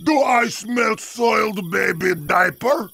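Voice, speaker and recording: Mocking gruff cartoon bully, male actor, fry-laden, drawn-out, forceful delivery. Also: high voice, full character voice. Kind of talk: cartoon comic dub.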